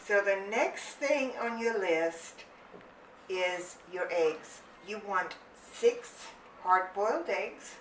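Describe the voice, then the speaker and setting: A woman's voice: mid-range voice, lively intonation, slow, slight background noise. An older woman from Germany hosting a home cooking channel, explaining a family recipe step by step in a chatty, homey way. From Germany, Rhineland-Palatinate. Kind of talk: casual cooking demonstration. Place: home kitchen. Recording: echoey room, voice from a distance.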